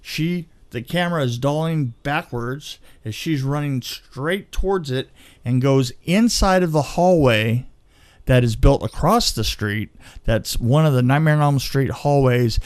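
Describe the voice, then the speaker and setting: A man's voice: mid-range voice, a little slow, no room sound. A man telling behind-the-scenes film stories in a casual, conversational way, acting out the exchanges he quotes. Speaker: film crew veteran, podcast storyteller. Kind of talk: casual anecdotal storytelling.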